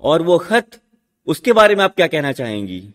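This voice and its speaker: Animated, troubled prince acted by a man. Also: a little high, normal speed. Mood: alarmed. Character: troubled, protective royal husband, courteous but torn. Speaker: actor in a student radio drama. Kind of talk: radio drama acting.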